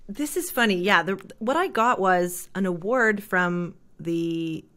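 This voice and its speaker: A woman in her thirties from Canada, a jazz singer chatting as an interview guest with a thick, heavy voice, full of "like" and quick laughs. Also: medium pitch, a bit bright. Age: 30s. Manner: rambling, filler-heavy, laughs often. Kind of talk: casual interview chat.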